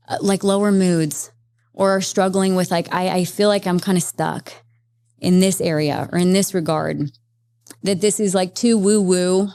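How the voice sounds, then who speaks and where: A woman therapist speaking as a podcast guest, explaining at length in a lively, conversational way with many fillers. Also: on the low side, no echo. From Las Vegas, Nevada, USA. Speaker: guest, psychedelic integration therapist. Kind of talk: conversational interview.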